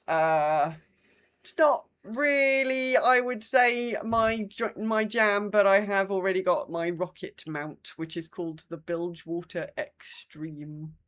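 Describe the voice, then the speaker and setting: A woman with a British accent chatting casually as a podcast co-host, with a wry, dry sense of humour. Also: mid-range voice. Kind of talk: casual chat.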